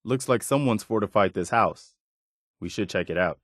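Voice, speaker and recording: A weary but principled militia soldier from a post-apocalyptic video game, played by a male actor. His voice is on the low side and recorded dry and close. He talks sincerely and plainly, like a loyal companion on the road. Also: moderate pace, no echo, close to an everyday voice. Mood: curious, wary. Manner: sincere, plain-spoken, conversational.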